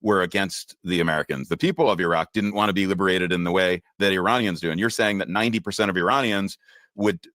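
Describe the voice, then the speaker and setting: A man's voice: deep voice, fairly even intonation, quick pace, a bit bright, dry recording, studio quality. A man conducting an interview, probing and rephrasing the guest's answers, often stumbling over his words. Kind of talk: interview questions.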